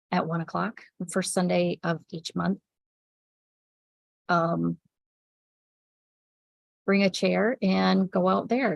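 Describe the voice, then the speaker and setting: A woman running a yarn shop livestream, chatting casually with viewers, sniffly and a little raspy from a cold. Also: fairly low pitch, dry recording. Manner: chatty, reads out viewer comments by name, many ums. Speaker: yarn shop owner hosting a weekly livestream.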